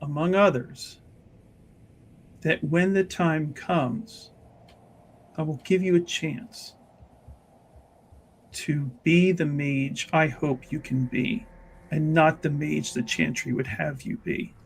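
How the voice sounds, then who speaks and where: Solemn, earnest noblewoman mage, played by a man; tabletop RPG, measured pace, some vocal fry. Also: fairly high pitch, low-quality recording. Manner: slow, deliberate, earnest. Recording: noticeable background noise.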